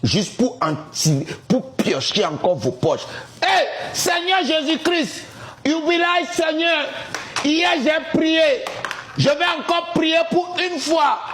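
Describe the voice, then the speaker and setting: A man, ranting dramatically in a sing-song, shouting way. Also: extremely high pitch, big pitch swings, slow, slight background noise. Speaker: TikTok commentator in a played clip. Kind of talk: excited preaching-style rant. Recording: roomy echo.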